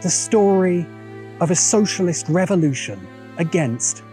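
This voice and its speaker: A British man narrating a scripted video essay in a measured, emphatic, deliberate way. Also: sits high, animated, mellow. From Britain. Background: background music.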